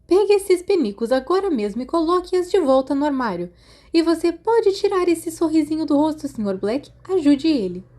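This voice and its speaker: Storybook school nurse, voiced by a woman: stern, caring, Brazilian accent, raised pitch, scolds protectively. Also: expressive, moderate pace, mellow. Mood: scolding, exasperated.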